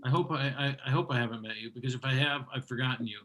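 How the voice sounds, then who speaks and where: Guy hosting a comedy stream on a video call, sharp bright voice, dry teasing jokes. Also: medium pitch, racing, rough recording. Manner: wry, teasing banter. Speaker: comedy show host. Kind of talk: emceeing a virtual comedy show.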